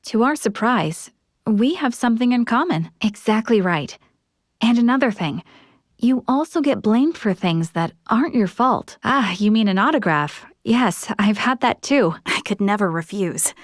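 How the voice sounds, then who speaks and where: A woman's voice: mid-range voice, dry recording, studio quality, lightly acted. Woman acting earnest, modest young female space officer. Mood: modest, hesitant. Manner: polite, self-effacing, sincere. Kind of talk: video game voice acting.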